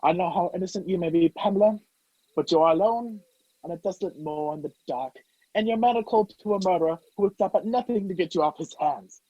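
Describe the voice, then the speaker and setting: Husky, brusque fugitive played by a young actor. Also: mid-range voice, quick pace, dark tone, no room sound, natural voice. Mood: menacing, sarcastic. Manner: commanding, sarcastic. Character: Richard Hannay, brusque sardonic man on the run. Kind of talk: old-fashioned radio play acting. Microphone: video call. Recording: muffled video-call audio.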